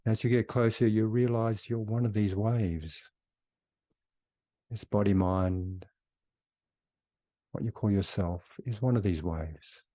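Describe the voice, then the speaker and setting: A man leading a guided meditation in a soothing, unhurried way with long pauses between phrases. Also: on the low side, dark tone, no room sound.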